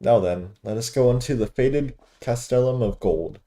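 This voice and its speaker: A man's voice: medium pitch, moderate pace, mellow, close to an everyday voice. A man voicing a pompous, cryptic talking cat guide from a video game, speaking in formal, old-fashioned grand phrases. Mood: solemn, grand. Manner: formal, archaic, grandiose wording.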